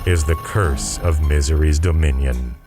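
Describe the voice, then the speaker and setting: A man's voice: very deep voice, measured pace. A man narrating a radio serial in a theatrical, ominous, suspense-building style. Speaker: narrator and announcer. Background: music.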